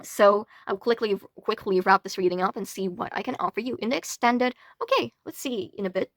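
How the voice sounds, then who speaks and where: A woman's voice: medium pitch, brisk, dry recording. Dry, chatty young woman reading tarot. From Latvia. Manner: chatty, frequent restarts and casual swearing. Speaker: tarot reader. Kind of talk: casual pick-a-pile tarot reading.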